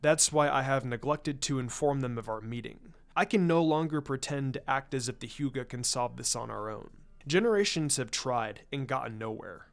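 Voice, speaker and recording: A man voicing a formal, proud clan patriarch and father in a story reading, speaking in a guarded, measured way. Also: mid-range voice, pitched below the performer's own voice, lightly acted. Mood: formal, reserved. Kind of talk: fan fiction story reading. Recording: music under the voice.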